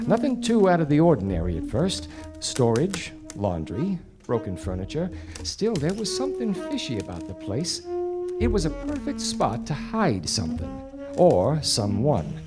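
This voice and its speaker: Hard-boiled 40s detective, wry and clipped, really swings his pitch, live radio play w music behind. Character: 1940s gumshoe detective, male, wry and dogged. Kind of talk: 1940s radio noir acting and first-person narration. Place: theatre stage before a studio audience.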